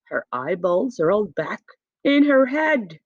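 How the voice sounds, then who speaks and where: A woman reading a children's picture book aloud expressively, with dramatic pauses and emphasis. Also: mid-range voice, sing-song, no room sound. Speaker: storybook reader. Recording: dull, muffled home recording.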